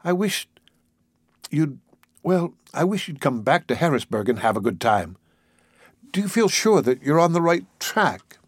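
A man voicing a lively, privileged young society woman with a wistful, hesitant, girlish manner in a story. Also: fairly high pitch, measured pace, long pauses, husky, dry recording, studio quality, pitched above the performer's own voice, exaggerated voice. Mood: coaxing.

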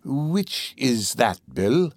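A man's voice: medium pitch, sing-song, no room sound, full character voice. Man putting on a wheedling, crafty old schemer. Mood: eager, sly. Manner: oily, coaxing, drawn-out phrases. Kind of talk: audiobook character voice.

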